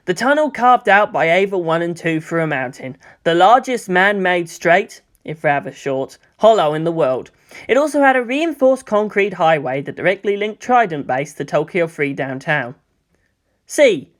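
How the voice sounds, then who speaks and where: Expressive narrator with very lively pitch. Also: normal speed. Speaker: audiobook narrator. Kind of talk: scripted narration of fan fiction.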